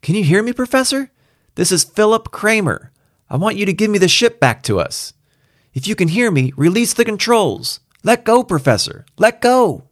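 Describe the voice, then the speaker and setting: An urgent younger man aboard a spaceship as a male narrator acts him, his voice pushed high and strained. He is emphatic and insistent, pleading in short phrases, in a dry, close science fiction reading. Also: big pitch swings, normal speed, no echo. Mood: desperate.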